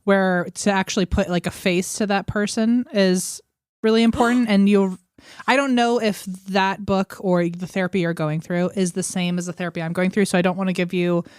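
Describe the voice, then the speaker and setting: Clear-voiced woman in casual chat. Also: medium pitch, steady tone, normal speed, no echo, studio quality. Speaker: podcast guest.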